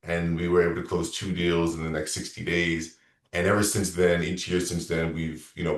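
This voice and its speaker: A man's voice: a bass voice, echoey room, recorded from a distance. A man in his thirties, a real estate coach, talking casually and rambling a little through a sales consultation on a video call. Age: 30s. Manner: relaxed, rambling, self-correcting.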